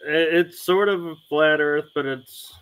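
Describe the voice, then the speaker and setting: Slow, higher-voiced American man. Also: a bit bright, clear, resonant tone, low-quality recording. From Chicago, United States. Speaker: co-host asking questions. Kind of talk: casual chat.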